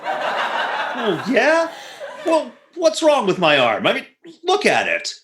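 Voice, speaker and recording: A man's voice: very high-pitched, huge pitch swings, slow, a bit bright, full character voice. Flustered radio-comedy husband with swooping inflections, acted by a man. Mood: incredulous, jealous. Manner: flustered, incredulous, wry. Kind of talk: re-enacted 1940s radio comedy acting. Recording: roomy, echoing voice recording.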